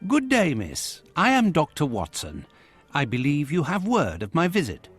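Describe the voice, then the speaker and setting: An actor with a British accent playing an earnest, courteous gentleman physician in a video game, speaking formally. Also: fairly high pitch, huge pitch swings, normal speed, breathy edge, soft background music, exaggerated voice.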